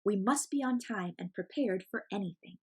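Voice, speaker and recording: A woman reading a picture book aloud as an expressive storyteller for children. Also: mid-range voice, moderate pace, husky.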